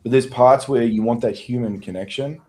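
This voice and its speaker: A man co-hosting a business podcast, talking in a relaxed, conversational way. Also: mid-range voice, fairly quick, a little hiss or hum. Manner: relaxed, conversational, chuckles easily. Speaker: podcast co-host. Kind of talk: casual chat.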